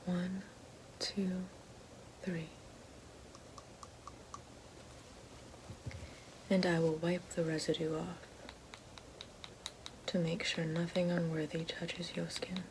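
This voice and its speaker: A woman playing a regal, menacing evil queen witch in an ASMR fantasy roleplay, speaking slowly and formally in a soft, breathy, often whispered voice. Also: lowish voice, little pitch movement, noisy background. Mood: ceremonious. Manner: slow, deliberate, formal.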